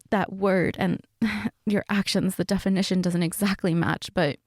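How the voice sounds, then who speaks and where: A woman acting as a flustered middle-aged mom who is under the weather, in an intimate roleplay audio. Her voice is breathy, husky and very mellow. She speaks slowly and haltingly, reluctant and soft, often close to a whisper. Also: medium pitch, no room sound. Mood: startled, protesting. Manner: hesitant, halting, soft-spoken.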